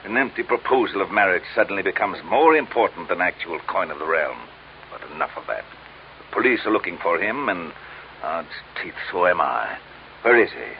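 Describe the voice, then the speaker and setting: A fat, scheming crime boss in an old-time radio drama. His low voice rolls up and down with grandiose, mock-courteous menace. The recording is dull and hissy. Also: lively intonation, normal speed. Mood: florid, cynical.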